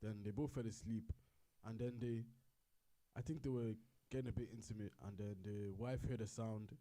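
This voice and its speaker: A man's voice: on the low side, steady tone, moderate pace, mellow. A man casually retelling a story in a rambling, repetitive way and correcting himself as he goes. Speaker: co-host.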